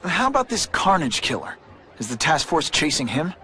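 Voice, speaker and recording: A man's voice: a little high, sing-song, moderate pace, pushed and bright, heavily acted. A man playing a young, wisecracking but earnest superhero in a video game, quippy and informal. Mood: probing. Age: young adult. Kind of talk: video game cutscene acting and hero monologue. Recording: clear noise behind the voice.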